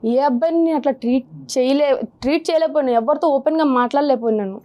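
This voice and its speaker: A woman's voice: high-pitched, quick pace, highs cut off, faint music behind. A woman in her twenties, earnestly and hesitantly telling her personal story in an interview. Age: 20s. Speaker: guest sharing her problem. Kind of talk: confessional interview.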